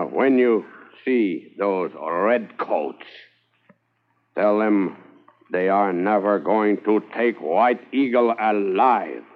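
A slow, menacing outlaw warrior. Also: male voice, on the low side. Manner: slow, clipped threats. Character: defiant hunted warrior man threatening pursuers. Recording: thin phone-like old radio transcription.

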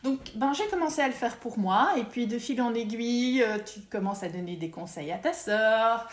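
A woman's voice: on the high side, moderate pace. Chatty woman with a roomy echo. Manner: lively, many hesitations and restarts. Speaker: feng shui consultant, podcast guest. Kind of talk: casual interview conversation.

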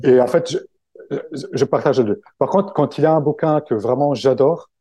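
A man from Italy speaks French with an Italian accent in a relaxed interview, pausing often on fillers and restarting his sentences. His voice is mellow and dark, and the sound is dry and close with no room echo. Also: medium pitch, husky. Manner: hesitant, many fillers and restarts. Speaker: guest, book-summary creator. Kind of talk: casual interview conversation.

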